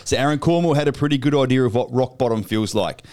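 A man's voice: medium pitch, fast, darkish tone. An Australian man hosting a podcast in an upbeat, blokey way, swearing casually as he chats. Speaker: podcast host.

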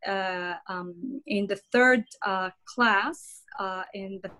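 A middle-aged woman from Jordan, a podcast guest talking through her career in a relaxed interview, pausing often with many fillers and restarts. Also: medium pitch. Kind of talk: conversational interview.

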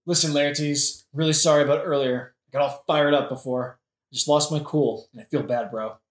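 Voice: mid-range voice, steady tone, moderate pace, roomy sound, lightly acted. A performer playing a flippant, sarcastic, world-weary young prince in a modern comic audioplay, using casual slangy speech. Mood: casual, cocky. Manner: sardonic, slangy.

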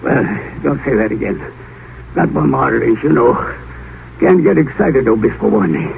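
Casting: rich old tycoon, excitable and folksy, swooping delivery; 1950 radio drama, thin noisy sound. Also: male voice, mid-range voice, moderate pace, creaky, phone-quality sound. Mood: folksy, restless. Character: rich, volatile old tycoon fearful of death.

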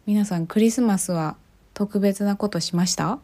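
A woman's voice: medium pitch, moderate pace, mellow. A woman hosting a podcast on her own, chatting casually and often putting questions to her listeners. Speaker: podcast host. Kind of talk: casual solo podcast chat.

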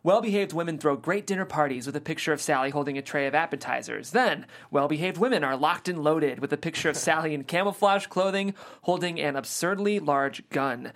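A narrator reading the stage directions of a screenplay aloud in a plain, steady way. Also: medium pitch.

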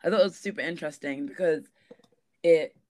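Hoarse man losing his voice. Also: fairly high pitch, fairly quick, darkish tone, no echo. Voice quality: slightly hoarse. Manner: hesitant, many fillers and laughs. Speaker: guest. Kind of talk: casual discussion.

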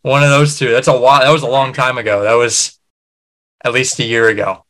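A male podcast host with a bright, forward tone. He chats in an easygoing, friendly manner, tossing questions to his guest. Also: medium pitch, level tone, a little fast. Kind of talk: casual podcast chat.

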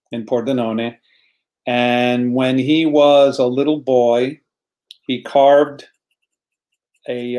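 A man's voice: medium pitch, a little mellow. An American man, a guest in a casual online chat, telling a story earnestly and repeating words as he searches for them. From United States.

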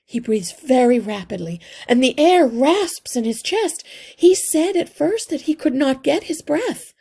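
A woman's voice: sits high, sing-song, a little slow, vocal fry, dark tone, pitched above the performer's own voice, full character voice. A woman voicing an anxious, polite mother mouse who speaks in an earnest, worried way for a children's storybook. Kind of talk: children's storybook read-aloud.

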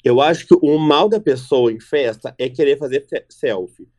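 A young man co-hosting a casual chat, talking in an expressive, chatty way full of slangy fillers. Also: mid-range voice, moderate pace.

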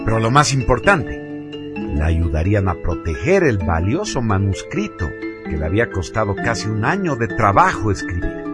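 A man narrating a radio drama from a script in a solemn, deliberate, theatrical way. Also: lowish voice, big pitch swings, over loud music.

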